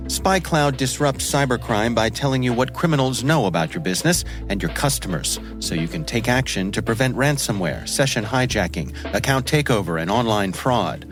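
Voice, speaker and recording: A man delivering a scripted sponsor read as an announcer. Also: mid-range voice. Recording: music bed behind voice. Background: music.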